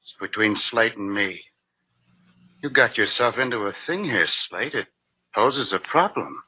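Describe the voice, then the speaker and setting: A cynical man on the run in an old radio crime drama. His low voice is very forceful and moves widely in pitch, with clipped, flippant, hardboiled phrasing. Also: expressive, a little slow, slightly rough, exaggerated voice, rough recording. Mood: curt, hard. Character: breezy, cynical fugitive man, smooth-talking murderer.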